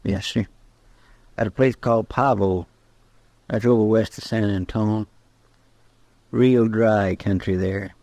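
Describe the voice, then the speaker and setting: An actor playing a homespun, earnest, deferential marshal's sidekick with a rural American drawl in an old-time radio western. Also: male voice, fairly low pitch, steady tone, takes it slowly, pauses often, darkish tone, dry recording. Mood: folksy, confiding.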